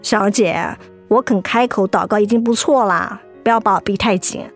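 An actress with a Taiwanese accent playing a blunt, softhearted young woman who nags her best friend in a radio drama. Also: medium pitch, moderate pace, close to an everyday voice. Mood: nagging. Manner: blunt, nagging, exasperated. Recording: music behind the voice.

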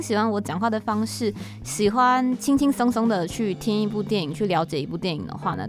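A woman with a Taiwanese accent hosting a podcast in casual solo talk, chatty, informal and a little self-deprecating. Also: mid-range voice. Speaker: podcast host. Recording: music playing under the voice. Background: background music.

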